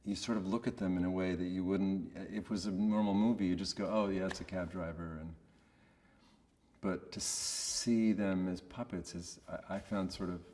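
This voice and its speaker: A man giving a casual, reflective interview in a monotonous voice, hesitant and understated as he searches for words. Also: low-pitched, normal speed, in a large hall. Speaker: voice actor being interviewed.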